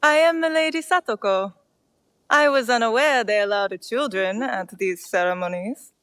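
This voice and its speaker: An actress playing a disdainful highborn lady in a video game, formal and condescending. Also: moderate pace, a bit bright, clean tone, no echo, natural voice. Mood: cool disdain. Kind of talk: video game cutscene acting.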